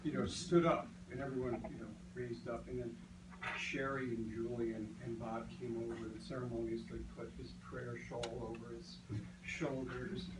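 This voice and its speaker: A man's voice: medium pitch, fairly even intonation, moderate pace, very noisy. A man giving a casual, rambling toast at a birthday party, telling a story with hesitations. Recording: echoey room, distant mic, loud crowd.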